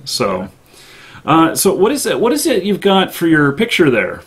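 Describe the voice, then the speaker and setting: A man's voice: on the high side, wildly swooping pitch, normal speed, breathy edge. Man hosting a casual live stream. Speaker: host of a live gaming show.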